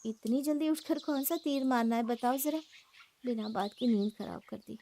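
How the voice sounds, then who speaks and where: A woman's voice: on the high side, normal speed, mellow, a little hiss or hum, close to an everyday voice. A woman playing a spoiled, defiant young married woman in a cartoon story, argumentative and complaining. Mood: irritated. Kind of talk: Hindi cartoon story acting.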